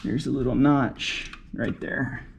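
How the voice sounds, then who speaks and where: Male DIY mechanic vlogger, mid-pitched, casual and folksy, off-the-cuff, roomy noisy recording. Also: steady tone, slow, vocal fry. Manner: folksy, muttering to himself and explaining to camera. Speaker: DIY car repair vlogger. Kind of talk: casual unscripted talk while working. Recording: echoey, noisy, clicking, uneven mic distance.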